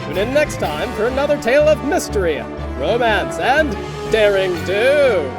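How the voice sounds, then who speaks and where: An actor playing a grandiose, excited announcer of an old-time radio adventure serial, booming and melodramatic. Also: male voice, moderate pace, over loud music. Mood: grand, excited.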